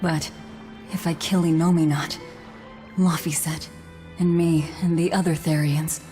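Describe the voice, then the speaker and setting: A woman's voice: sits low, steady tone, a little slow, dark tone, soft background music. An actress playing a grimly resolute, dry-witted young woman bent on vengeance in a video game. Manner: blunt, determined, dry. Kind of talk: English-dubbed video game cutscene acting.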